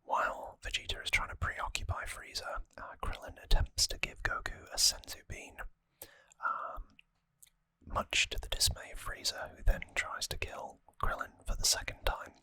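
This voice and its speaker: Asmr host whispering right up on the mic, crisp hissy bright sound, casually explaining stuff. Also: moderate pace, no room sound. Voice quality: breathy unvoiced whisper. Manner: soft-spoken, informal, explanatory. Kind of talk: ASMR whispered story recap. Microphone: close microphone, dry.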